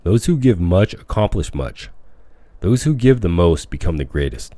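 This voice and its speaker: A man reading a self-help audiobook as scripted narration, with measured, declamatory phrasing. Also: sits low, sing-song, warm tone, dry recording. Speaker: audiobook reader.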